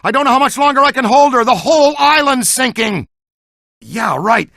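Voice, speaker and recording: A man's voice: very high voice, expressive, moderate pace, sharp tone, no room sound, clean studio sound, exaggerated voice. A man voicing an eager, good-natured ghost-hunting scientist in a video game, earnest and technical. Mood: excited, urgent. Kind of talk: video game dialogue, battle shouts and banter.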